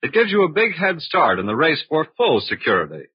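A man's voice: on the high side, huge pitch swings, a little fast, slightly rough, dry recording. A man announcing and selling in 1940s radio style, with an emphatic, polished salesman's delivery. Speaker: radio announcer and sponsor spokesman. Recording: muffled old radio broadcast recording.

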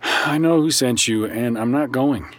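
A man's voice: mid-range voice, unhurried, no echo, close to an everyday voice. Guarded, dry male doctor played by an actor. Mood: defiant, dry. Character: educated, guarded, dryly irritable male doctor. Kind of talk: audio drama acting, naturalistic dialogue.

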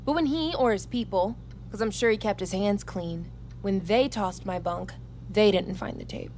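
An actress playing a defiant, hot-tempered young American army recruit in an audio drama, with a blunt, sarcastic, profane way of talking. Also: female voice, mid-range voice, moderate pace, a little mellow, a little hiss or hum, lightly acted. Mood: wry. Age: young adult. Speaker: lead character and narrator.

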